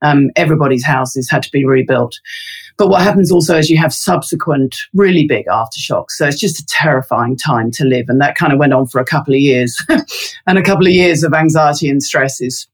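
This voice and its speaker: A woman's voice: low voice, fairly quick, slightly rough. Chatty woman with lots of ums. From London, England. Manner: thoughtful, many fillers. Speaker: interview guest, resilience researcher. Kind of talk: casual interview talk.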